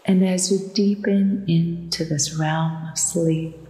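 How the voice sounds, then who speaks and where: A woman narrating a guided sleep meditation in a soothing, hushed and deliberate manner. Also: fairly low pitch, steady tone, a little hiss or hum. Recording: echoey long reverb with music behind. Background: relaxation music with ocean waves.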